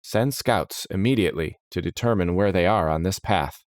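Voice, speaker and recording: A man's voice: low-pitched, moderate pace, no room sound, studio quality, natural voice. A man voicing a stern, officious steward of a lord's court, formal and commanding, in a fantasy story. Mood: commanding, brisk.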